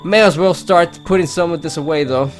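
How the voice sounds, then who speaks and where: Male let's play host, casual read-aloud and commentary, slightly high voice over game music. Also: brisk.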